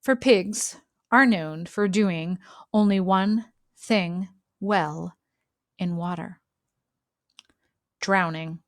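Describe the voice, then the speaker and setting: A woman reads a children's story aloud in an expressive narrating style, phrasing deliberately and leaving dramatic pauses. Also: lowish voice, no echo, studio quality. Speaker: storybook reader.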